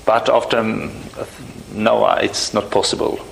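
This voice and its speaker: Middle-aged Swedish man, gravelly, halting. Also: fairly low pitch, little pitch movement, a little hiss or hum, low-quality recording. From Sweden. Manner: halting non-native English, frequent restarts, searching for words. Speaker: church musician. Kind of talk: conversational interview.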